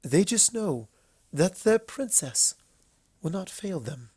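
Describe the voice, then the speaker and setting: A woman's voice: fairly low pitch, very animated, slow, mellow, slightly rough, no room sound, pitched above the performer's own voice, exaggerated voice. A woman voicing a refined female unicorn pony in a storybook reading, earnest and solemn, speaking in a formal, eloquent, emotive way. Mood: pleading, fervent.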